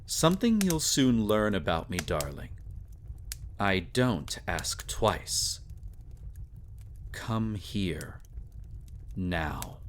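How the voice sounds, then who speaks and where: Man playing a deep, slow, possessive vampire. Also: sing-song, slight background noise, full character voice. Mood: commanding, menacing. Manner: slow, commanding, condescendingly tender purr. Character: sadistic, possessive male vampire, silky and menacing. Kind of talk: intimate roleplay audio drama.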